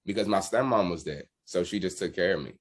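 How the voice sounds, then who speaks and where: Deep-voiced American man, casual and candid. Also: fast. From Washington DC area, United States. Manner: candid, slangy. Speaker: musician guest, drummer. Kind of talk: casual interview storytelling.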